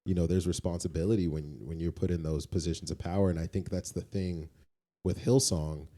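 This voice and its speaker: A man's voice: sits low, fairly even intonation, fairly quick, mellow. A man co-hosting a podcast, talking conversationally in an opinionated, explanatory way and often checking in with the listener. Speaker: podcast co-host.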